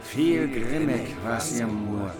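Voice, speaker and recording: A man recites old epic verse in a mid-pitched, solemn voice. He draws the lines out very slowly, and loud music runs behind him. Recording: studio voice over loud music.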